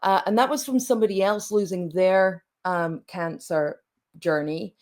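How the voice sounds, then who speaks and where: A woman's voice: fairly low pitch, a little mellow. A woman in her late forties from Scotland, a coach speaking reflectively as an interview guest, with frequent restarts. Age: late 40s. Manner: reflective, halting, many restarts. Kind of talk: conversational interview.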